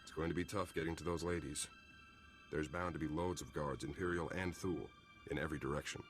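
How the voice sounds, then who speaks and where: An easygoing army captain in a game cutscene. He has a deep voice and an informal, helpful manner, with clear music behind him. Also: fairly even intonation, brisk. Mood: matter-of-fact. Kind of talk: video game cutscene acting.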